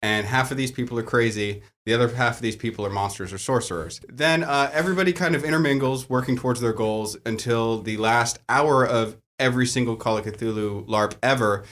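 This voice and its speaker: A man's voice: medium pitch, brisk, few pauses, a bit bright. A man speaking as a game master guest, explaining rules in a casual group chat. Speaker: tabletop and LARP game master, panel guest.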